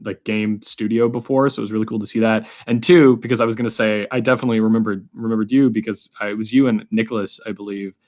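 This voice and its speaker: A man's voice: fairly low pitch, steady tone, quick pace, few pauses, a little mellow. A man in his early twenties hosting a podcast in a casual, informal, rambling way. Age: early 20s. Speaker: podcast host.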